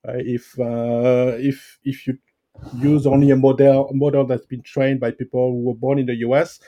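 A man with a French accent answering interview questions, pausing often with fillers and restarts. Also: mid-range voice, little pitch movement, warm tone, highs cut off. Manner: hesitant, many fillers and restarts. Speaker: guest expert.

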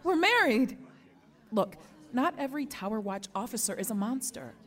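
A woman's voice: a little high, sing-song, normal speed, a bit bright. A woman playing a devoted, emotional guard officer in an audio drama. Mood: defensive.

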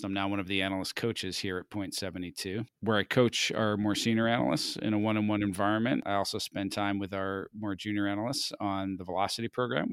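A middle-aged man leads the interview in a measured, professional way. His voice sits on the low side, and the recording is dry and close. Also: fairly bright tone, smooth, no echo. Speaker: analyst coach and interviewer. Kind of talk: interview hosting.

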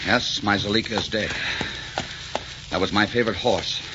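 An actor playing a proud, commanding desert sheik in a 1940s radio drama, with an imperious, teasing way of talking. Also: male voice, on the low side, normal speed, dull audio, natural voice. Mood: grave, bitter. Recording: noisy, crackling 1940s radio recording.

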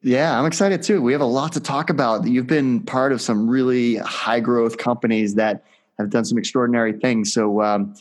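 Podcast host reading a smooth intro. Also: male voice, mid-range voice, fairly even intonation, moderate pace. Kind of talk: scripted intro then conversational interviewing.

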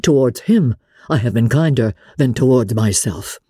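A woman plays a proud, wealthy gentleman in a classic novel reading. The voice sits low and mellow, with formal, measured phrasing and a disdainful edge. The sound is very dry. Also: sing-song, husky, dry recording, exaggerated voice. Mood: cool, unrepentant. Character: proud, haughty wealthy gentleman, contemptuous and stiff.

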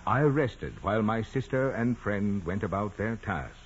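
An actor playing a formal church official, reading testimony aloud in a declamatory way in a 1940s radio drama. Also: male voice, fairly low pitch, expressive, a little slow, a little hiss or hum. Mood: formal, solemn. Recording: muffled vintage radio recording.

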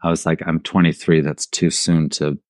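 A man's voice: extremely low pitch, flat delivery, moderate pace, dry recording, studio quality. A middle-aged man who grew up in southern Germany, talking informally as an artist in an interview and telling stories with bits of quoted dialogue. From raised in southern Germany. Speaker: installation artist, podcast guest. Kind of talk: casual conversational storytelling.

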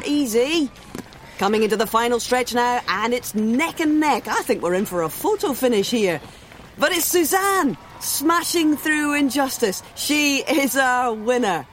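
A woman presenting a scripted comic ad as mock race commentary, with a lively commentator's delivery. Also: high voice, unhurried, slight background noise. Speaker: sports presenter in an ad.

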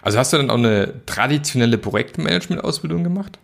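A man's voice: medium pitch, animated, fast talker, vocal fry. A male podcast host chatting casually with his guest, with lots of restarts and fillers. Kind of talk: casual interview.

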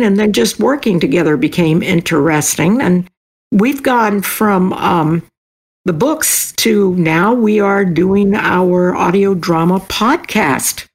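A woman's voice: medium pitch, husky. An older woman chatting casually as an interview guest, enthusiastic and emphatic in how she talks. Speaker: podcast guest, author.

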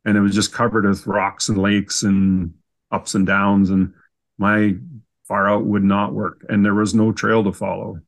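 A Canadian man with a fairly low voice chats as a podcast guest. He tells his stories casually and in an easygoing way, happy to poke fun at himself. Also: level tone, unhurried, vocal fry. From Canada, southern Saskatchewan. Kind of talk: casual storytelling in an interview.

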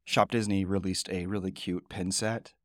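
A man in his thirties co-hosting a podcast in casual, rambling chat, his voice low and groggy from the morning. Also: moderate pace, no room sound, clean studio sound. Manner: relaxed, rambling, chatty with fillers. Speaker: podcast co-host.

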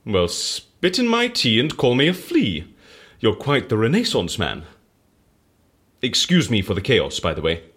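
Animated, husky British eccentric. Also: male voice, medium pitch, unhurried, full character voice. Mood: jovial. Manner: quaint exclamations, theatrical swings. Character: eccentric, chatty British male case interviewer, jovial and flustered. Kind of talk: audio drama acting.

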